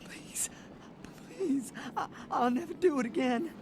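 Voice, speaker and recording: A man voicing a meek, frightened young male vampire in a video game, stuttering tearfully and pleading in halting apologies. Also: very high-pitched, a little hiss or hum. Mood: sobbing, begging. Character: terrified, meek, stammering young male vampire begging for mercy.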